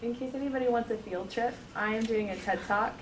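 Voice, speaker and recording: A hoarse woman who has a cold, speaking slowly and steadily in a group discussion. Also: a little high. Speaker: speaker at the meeting. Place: meeting room with a group. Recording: echoey room, distant, noisy.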